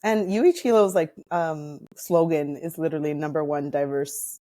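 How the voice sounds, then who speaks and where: A woman's voice: low-pitched, moderate pace, dark tone. A woman from Hawaii with an American accent, chatting as an interview guest and retelling personal stories with quoted dialogue. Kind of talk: casual personal storytelling in conversation.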